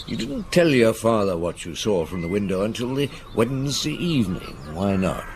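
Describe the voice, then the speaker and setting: A man's voice: on the low side, big pitch swings, moderate pace, noisy background. A man playing a genial, shrewd middle-aged detective in a radio drama, questioning in an avuncular, probing way. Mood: gentle, probing.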